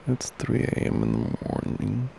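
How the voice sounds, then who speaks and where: Gravelly, murmuring man in intimate ASMR. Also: big pitch swings, unhurried, a little hiss or hum. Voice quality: low, gravelly, creaky. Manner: soft, drowsy, affectionate murmuring, often breathy half-whisper. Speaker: ASMR roleplay performer as boyfriend. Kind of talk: intimate ASMR boyfriend roleplay. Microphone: close ASMR microphone.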